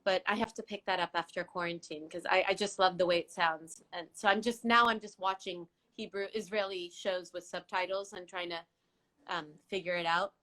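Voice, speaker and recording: A woman's voice: lowish voice, steady tone, normal speed, bright, forceful tone, poor audio. A middle-aged woman from Iran, a native Farsi speaker, chatting as a guest in a giggly, talkative way, often restarting words. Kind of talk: casual live-stream chat.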